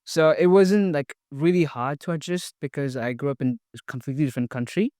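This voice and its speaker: A young man in his twenties from India, a podcast guest talking casually with many pauses, fillers and restarts. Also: fairly high pitch, a little mellow, no room sound, clean studio sound. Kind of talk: casual interview, sharing personal story.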